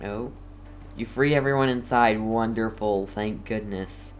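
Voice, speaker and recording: A man's voice: on the low side, unhurried, faint music behind. A man giving casual gameplay commentary as a streamer, with dry, understated joking. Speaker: gamer, streamer.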